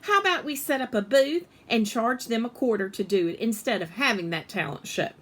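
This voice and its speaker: A woman's voice: fairly high pitch, expressive, brisk, fairly bright tone. A woman voicing an outspoken, worried young schoolgirl in a children's storybook, blunt and pointedly polite. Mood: matter-of-fact. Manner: blunt, extra-polite when dodging.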